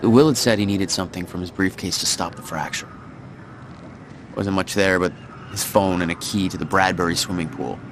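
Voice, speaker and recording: An actor playing a grim, weary hero who recounts past events in a video game. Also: male voice, deep voice, moderate pace, a little hiss or hum. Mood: grim, reflective. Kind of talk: scripted first-person game narration.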